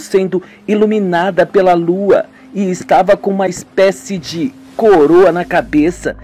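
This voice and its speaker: Uneasy young woman man putting on a girl voice, highish, soft music behind. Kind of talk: homemade radio drama.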